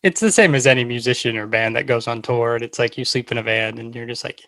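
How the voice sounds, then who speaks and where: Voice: mid-range voice, fast talker, fairly bright tone, no echo. Casual podcast host, dry sound. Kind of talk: casual interview chat.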